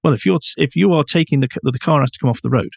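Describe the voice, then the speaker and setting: A man's voice: a little high, very fast, vocal fry, a little mellow, no room sound, studio quality. A man co-hosting a car news podcast in a conversational way, with a wry, blunt manner. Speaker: podcast co-host.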